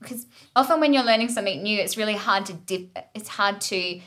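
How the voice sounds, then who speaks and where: A woman's voice: medium pitch, fairly quick, fairly bright tone. A woman with an Australian accent hosting a podcast in a chatty, encouraging way. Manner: encouraging, laughs often, chatty. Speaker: podcast host. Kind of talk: casual chat.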